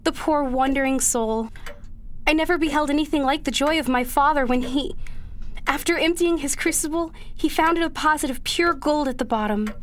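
A woman playing a dutiful, anguished young daughter in a period radio drama, speaking earnestly and haltingly in formal old-fashioned phrases. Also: high voice, lightly acted. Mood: wistful.

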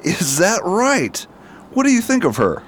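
A man's voice: high voice, huge pitch swings, a little slow, slight background noise, full character voice. A man playing a hearty, inquisitive friend in a radio mystery, curious and musing in manner. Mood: curious probing. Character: hearty, inquisitive old friend, probing gently. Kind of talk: radio drama acting.

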